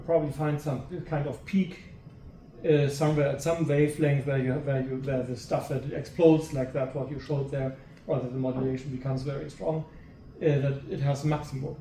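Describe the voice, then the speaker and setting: A man with a dark, relaxed tone speaks from some distance in an echoing room. He is a researcher in the audience, reasoning hesitantly through a long question and repeating words as he goes. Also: mid-range voice, moderate pace. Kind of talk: academic discussion, long spontaneous question. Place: seminar room, away from the mic. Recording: echoey, off-mic with faint noise.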